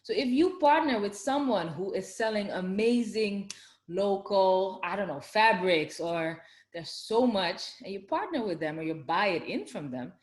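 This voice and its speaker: A woman from the Netherlands with a Dutch accent, hosting a podcast in a chatty, animated way with many fillers and frequent laughs. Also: medium pitch, unhurried. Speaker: podcast host. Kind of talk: casual conversational chat. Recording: echoey room.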